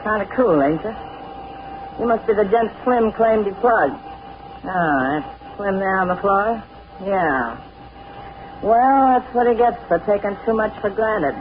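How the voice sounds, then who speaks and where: A woman's voice: medium pitch, animated, measured pace, pauses often, phone-quality sound, close to an everyday voice. A woman playing a hard-boiled gang leader with a tough, slangy drawl, in a 1940s radio drama. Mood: menacing. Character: hard-boiled female gang boss, cool and menacing. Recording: scratchy 1940s radio broadcast.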